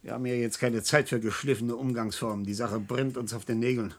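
An actor playing an irascible, domineering professor and chief physician in a German radio drama, blustering, sarcastic and impatient. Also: male voice, mid-range voice, steady tone, a little fast, natural voice. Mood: brisk, worried.

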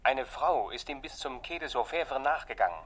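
Husky, dutiful police detective. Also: male voice, medium pitch, animated, moderate pace, a bit bright. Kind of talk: 1960s German radio play acting.

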